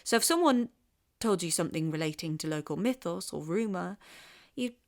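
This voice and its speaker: An actress playing a curious young woman podcaster from the English Midlands, narrating in a measured, wry way and asking questions. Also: on the low side, expressive. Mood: calm. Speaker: investigative podcast host. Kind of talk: scripted podcast narration and street interviews.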